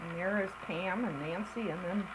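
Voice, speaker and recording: Older lady w a gravelly lowish voice, chatting through family slides, background hiss. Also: normal speed. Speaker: family member narrating home slides. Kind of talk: casual reminiscing over old slides. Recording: home recording with noticeable background noise.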